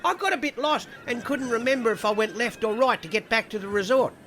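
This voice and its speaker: A woman's voice: a little high, a little fast, fairly bright tone, a little hiss or hum, close to an everyday voice. A woman playing a brash, foul-mouthed, eccentric castaway in a comedy audio drama, blunt and matey. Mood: brash, animated.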